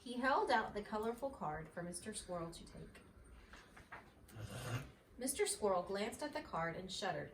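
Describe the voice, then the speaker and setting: A woman reads a children's story aloud in an expressive, lively storytelling style. Her pitch moves around freely. The recording is roomy and a bit distant, with faint noise. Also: normal speed, pauses often. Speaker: mother reading a storybook aloud.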